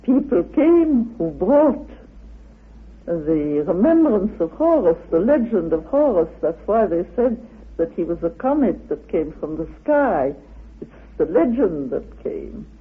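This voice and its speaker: Interviewed researcher, slow and husky, halting explanations, thin narrow-band radio recording. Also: mid-range voice, big pitch swings. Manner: deliberate, halting delivery.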